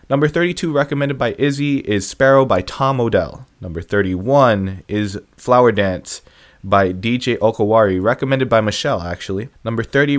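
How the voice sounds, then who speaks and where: A young man casually counting down a list of songs his viewers recommended, offhand and self-deprecating. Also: medium pitch. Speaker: music reviewer ranking viewer song picks.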